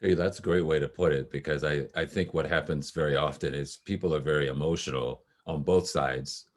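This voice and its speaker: Really deep voiced guy hosting an interview, affirming, asks the next question. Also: steady tone, a little fast, slight background noise. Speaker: host. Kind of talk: casual interview.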